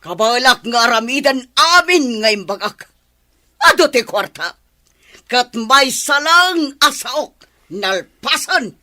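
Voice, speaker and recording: A woman's voice: sits high, expressive, sharp tone, full character voice. A woman playing an imperious, scheming rich mother in a radio drama, with a Filipino accent and a haughty, commanding manner. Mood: cold, commanding. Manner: haughty, commanding, drawn-out delivery. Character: imperious, scheming wealthy middle-aged mother, cold and domineering.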